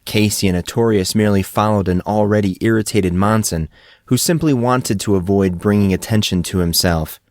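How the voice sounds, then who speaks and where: A man with a fairly low voice narrates a story in a scripted audiobook style. The recording is dry and close, with very little room sound. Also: normal speed, no echo.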